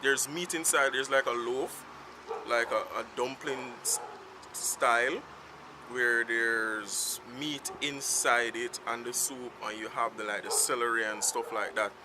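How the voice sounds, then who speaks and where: A man's voice: medium pitch, fairly even intonation, unhurried. A man with a Jamaican accent, whose first language is Patois, chatting unscripted to camera outdoors in a rambling, free-flowing way. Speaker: vlogger. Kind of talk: casual talk to camera. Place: outdoors in town, in the sun. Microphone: self-filmed camera. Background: faint street traffic.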